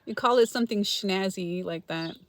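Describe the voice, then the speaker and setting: A middle-aged woman from Los Angeles chats loosely with her viewers, answering them by name. She laughs often, and her pitch sits in the middle range for a woman. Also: moderate pace, no echo. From Los Angeles, California. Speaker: frugal-living channel host reading viewer comments. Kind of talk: casual livestream chat.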